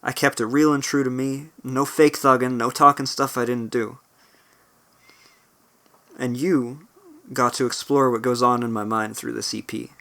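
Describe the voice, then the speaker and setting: A young-sounding independent rapper, a man with a slightly gravelly strained voice, talking earnestly and rambling with restarts on a cheap home mic. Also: medium pitch, fairly even intonation, unhurried, long pauses, sharp tone. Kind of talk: spoken outro over a beat. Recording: spoken over clear background music. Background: guitar music bed.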